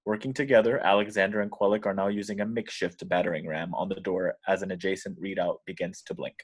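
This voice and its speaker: A man's voice: deep voice, fairly even intonation, fast, slightly rough. A man reading screenplay action descriptions as scripted narration at a table read. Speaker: stage directions reader.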